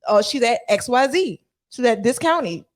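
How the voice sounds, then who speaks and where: Female podcast co-host, slightly high voice, blunt and slangy, casually reacting with salty language. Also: lively intonation, normal speed.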